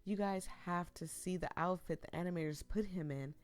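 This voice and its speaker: Giggly woman, hoarse from flu. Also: fairly low pitch, fairly even intonation, normal speed. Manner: giggly, rambling asides to viewers. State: losing her voice after the flu. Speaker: streamer playing a visual novel. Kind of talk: reading a visual novel aloud with chatty commentary.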